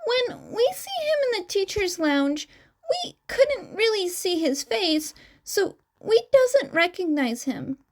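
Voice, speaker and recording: Woman voicing a high-pitched, earnest young male twin. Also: sing-song, slow pace, heavily acted, poor audio. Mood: explaining. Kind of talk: acted dialogue in fan fiction audio.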